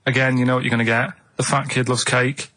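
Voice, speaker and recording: Male radio co-host, deadpan, halting, vague rambling chat, dry studio sound. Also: medium pitch, no room sound.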